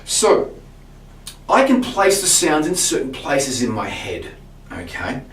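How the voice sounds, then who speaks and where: A man, a singing teacher, explaining vocal technique to camera in a relaxed way, often restarting his words. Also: medium pitch, slow pace, a little hiss or hum. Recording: roomy sound from a distance.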